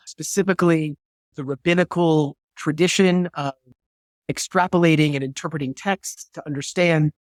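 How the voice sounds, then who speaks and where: Guest speaker, man, 50s, slightly high pitch, thoughtful and searching, video-call mic. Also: dry recording. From New Hampshire, USA. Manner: thoughtful, repeats words as he searches for phrasing. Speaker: guest historian and theologian. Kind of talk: reflective interview answer.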